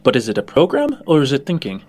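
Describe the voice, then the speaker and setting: A man's voice: medium pitch, wildly swooping pitch, fast, a little mellow. A man playing a smug, genteel hired rogue. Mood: smugly debating. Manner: genteel, condescending, calls listener dear and darling.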